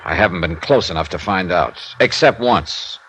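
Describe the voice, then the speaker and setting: An actor playing a tough but sympathetic insurance investigator in a 1950s radio detective drama, with an American accent and a clipped, wry delivery. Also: male voice, low voice, normal speed, a bit bright, low-quality recording. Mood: weary, sympathetic. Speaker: lead actor and narrator. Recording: hissy vintage radio recording.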